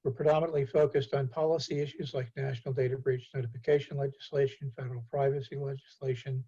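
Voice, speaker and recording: A man hosting a virtual roundtable, introducing speakers with frequent filler pauses. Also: mid-range voice, slightly rough. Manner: many filler pauses, steady and cordial. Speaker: host and executive director. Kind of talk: event introduction. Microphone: video call. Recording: muffled video call audio.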